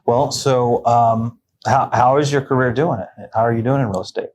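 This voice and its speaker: A man's voice: medium pitch, steady tone, moderate pace, a little mellow. A man hosting a casual interview as a realtor, asking easy questions and encouraging his guest with short replies. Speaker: host realtor.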